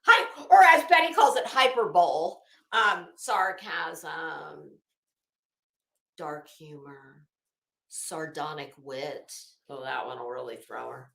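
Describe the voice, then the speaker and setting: Woman, bright forceful voice, slow pace, sardonic reading with commentary, blunt, incredulous, roomy echo. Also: low-pitched, animated, creaky. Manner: blunt, swears casually. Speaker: host of commentary show. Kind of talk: reading a court document aloud with running commentary. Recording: roomy, echoing sound.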